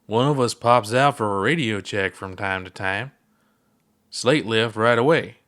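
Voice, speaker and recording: A man's voice: lowish voice, unhurried, a bit bright. A man doing a laid-back old space explorer. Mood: relaxed.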